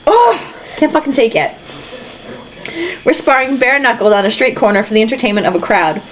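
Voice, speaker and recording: Animated woman reading aloud, muffled sound. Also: on the high side, a little fast. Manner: irreverent, breaks off to comment. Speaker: amateur book reader and host. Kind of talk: comedic novel read-aloud with snarky, sweary asides.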